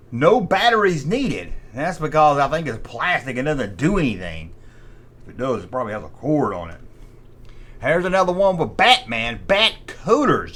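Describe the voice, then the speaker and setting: Animated, sarcastic American man, higher-pitched. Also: breathy edge. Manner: mocking, sarcastic, folksy. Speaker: host of a toy review channel. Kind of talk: casual humorous commentary.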